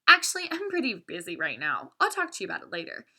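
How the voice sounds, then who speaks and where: A busy, self-absorbed schoolboy from a children's story, read out by a woman. He talks quickly in a bright, lively voice. His manner is offhand and dismissive. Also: medium pitch, breathy edge, pitched below the performer's own voice, full character voice. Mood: brisk, dismissive. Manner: hurried, offhand.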